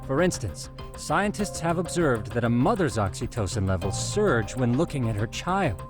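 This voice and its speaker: A man acting a bookish, earnest game detective delivering a briefing. His mid-pitched voice rises and falls freely as he explains with scholarly precision. Music plays clearly behind him. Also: big pitch swings, moderate pace, natural voice. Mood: earnest, lecturing. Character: nerdy, earnest young male detective lecturing on science. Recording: background music under the dialogue.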